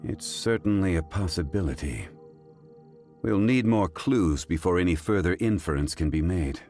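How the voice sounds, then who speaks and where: Composed gentleman sleuth for a game: low-pitched, formal, analytical, measured. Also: expressive, faint music behind. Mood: calm, analytical. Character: composed, scholarly gentleman investigator with measured temper. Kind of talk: video game character dialogue.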